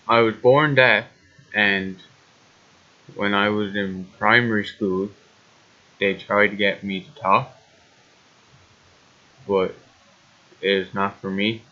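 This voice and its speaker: A man with an Irish accent speaking slowly and deliberately in the first person. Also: fairly low pitch. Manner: slow, flat and deliberate. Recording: dull lo-fi audio.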